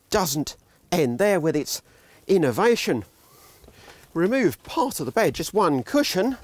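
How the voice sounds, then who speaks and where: An older man presenting a walkaround of motorhomes at an indoor exhibition hall, with wry, dry humour. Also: a little high. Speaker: motorhome reviewer.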